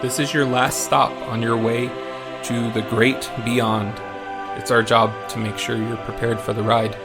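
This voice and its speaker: A male actor playing a formal, deadpan otherworldly gas-station attendant who guides dead travelers, in a comic audio drama. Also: mid-range voice, measured pace, lightly acted. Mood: welcoming, formal. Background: music.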